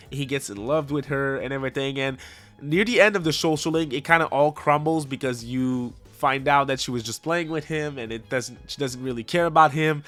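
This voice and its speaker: A man's voice: medium pitch, a bit bright. A man hosting a video, giving casual, opinionated commentary in a conversational way, repeating words for emphasis. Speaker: host of a video game ranking video.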